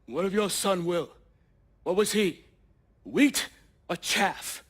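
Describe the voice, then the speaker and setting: A man's voice: high voice, slow, fairly bright tone, full character voice. A man playing a defiant, loyal soldier in a video game, with a blunt way of talking. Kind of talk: scripted cinematic game acting. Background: dramatic score.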